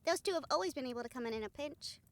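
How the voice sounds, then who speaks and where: A woman acting a friendly, supportive young woman in an audio roleplay, speaking in a gentle, earnest manner. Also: high-pitched, lively intonation, very fast.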